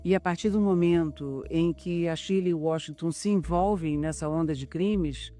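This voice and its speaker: A woman's voice: fairly low pitch, normal speed, warm tone. A middle-aged Brazilian woman, an actress and co-writer, speaking in a making-of interview. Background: background music.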